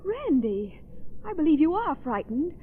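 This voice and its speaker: An actress playing a warm, persistent society woman in a 1930s radio drama, brisk and concerned. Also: high voice, very animated. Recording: tinny 1930s radio with faint noise.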